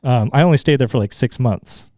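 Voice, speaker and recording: A young man from Utah, a guest in a casual chat, easygoing and agreeable, often pausing with fillers. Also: medium pitch, mellow, dry recording, studio quality.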